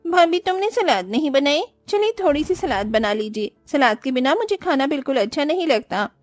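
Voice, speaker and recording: A woman's voice: high-pitched, sing-song, normal speed, dry recording, soft background music. A woman voicing a demanding, gluttonous sister-in-law in a cartoon moral story, with a whiny, entitled manner.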